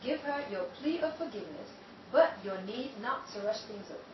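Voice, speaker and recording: An actress playing a haughty, sardonic sister-in-law who speaks with cool superiority in a 1930s-style radio drama. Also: female voice, a little high, expressive, brisk, reverberant, far from the mic, lo-fi sound, noisy background, close to an everyday voice.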